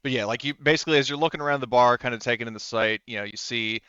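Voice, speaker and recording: A man narrating a tabletop game casually as game master, in a rambling, halting way with frequent self-interruptions. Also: mid-range voice, sharp tone, clear, resonant tone, dry recording, highs cut off.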